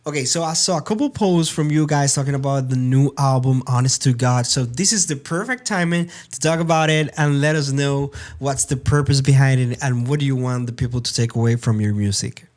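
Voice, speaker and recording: A man with a Dominican Spanish accent, hosting a casual interview in English, upbeat and halting with fillers. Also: medium pitch, a little mellow. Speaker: interview host.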